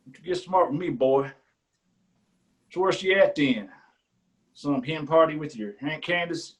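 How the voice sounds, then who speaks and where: An actor reading the part of a prying county sheriff in a staged play reading, drawling and falsely friendly. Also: male voice, on the high side, measured pace, close to an everyday voice. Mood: smug. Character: rural county sheriff, middle-aged man, prying and smug.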